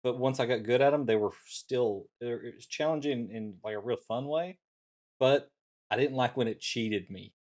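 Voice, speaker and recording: A man's voice: medium pitch, moderate pace, darkish tone. A middle-aged man talking casually as co-host of a talk show. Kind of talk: casual chat.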